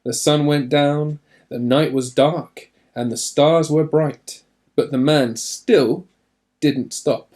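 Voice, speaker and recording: A man reading a children's storybook aloud in an expressive narrating style, with deliberate emphasis. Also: a little high.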